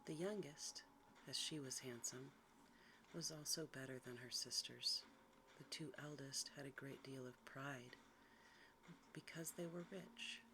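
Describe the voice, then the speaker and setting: A quiet, soft-spoken narrator reading a bedtime story in a hushed ASMR style. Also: mid-range voice, unhurried. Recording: clearly noisy recording with background ambience.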